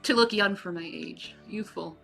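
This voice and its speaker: A woman in her thirties talking casually on a livestream, rambling and swearing, often trailing off into quiet mumbling. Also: medium pitch, moderate pace, gravelly, faint music behind. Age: 30s. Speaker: livestream subject.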